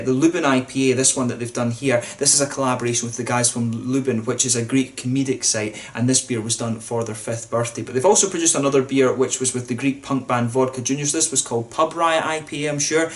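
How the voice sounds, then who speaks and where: A man talks quickly and casually to camera while reviewing a beer at home. His voice sits at a middle pitch, and he fills the talk with fillers and restarts as he goes. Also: fairly even intonation. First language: English. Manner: chatty, informal, many fillers and restarts.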